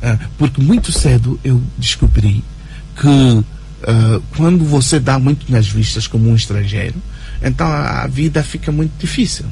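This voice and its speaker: A writer and journalist as a radio interview guest, talking in an animated way with many fillers and tag questions. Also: male voice, mid-range voice, moderate pace, gravelly, a little hiss or hum. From Angola. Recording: radio broadcast recording.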